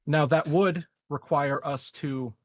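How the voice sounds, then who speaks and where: A man in a casual tabletop roleplaying game, laying out plans with deliberate, measured phrasing. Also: on the high side, fairly even intonation, dry recording, clean studio sound.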